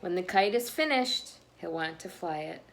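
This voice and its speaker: A woman's voice: on the low side, lively intonation, normal speed, gravelly. Woman reading a picture book, noisy recording. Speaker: children's read-aloud host. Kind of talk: expressive picture-book read-aloud.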